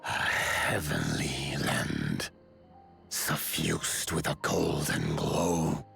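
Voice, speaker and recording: An actor playing a solemn, towering giant elder in a video game, with a low gravelly voice and slow, formal speech. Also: male voice, expressive, sharp tone, faint music behind, exaggerated voice.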